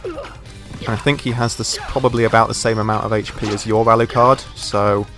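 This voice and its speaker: Croaky man narrating gameplay. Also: lowish voice, normal speed, over music. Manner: relaxed, thinking aloud while playing. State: croaky from a sore throat. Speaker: gameplay commentator. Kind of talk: casual let's play commentary.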